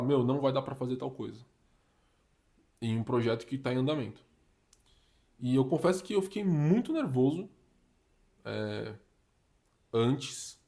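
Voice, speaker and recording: A young Brazilian man talks straight to the camera in a laid-back, slangy way. His pitch sits in an ordinary middle range, and he gets lively and punchy when he makes a point. He sounds like a vlogger handing out practical tips. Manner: slangy and animated, uses "tá ligado", "mano", "papo reto". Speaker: career vlogger giving communication tips. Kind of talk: casual advice vlog.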